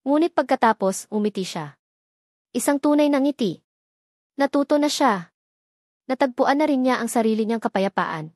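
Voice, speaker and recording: A woman storyteller giving a scripted narration of a romance story in a measured, dramatic way. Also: on the high side, a little mellow, no echo, clean studio sound.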